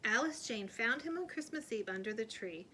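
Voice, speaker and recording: A woman reading a children's story aloud in an expressive, animated storytelling manner. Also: on the high side, normal speed, a little hiss or hum. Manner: animated, putting on character voices. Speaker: read-aloud storyteller, teacher.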